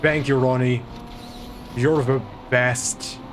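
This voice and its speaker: Male streamer, light German accent, mid-pitched, relaxed and chatty, loud racing game noise underneath. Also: slow. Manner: relaxed, chatty, greets and thanks viewers. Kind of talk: casual gaming commentary to chat. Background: racing game engine and traffic sounds.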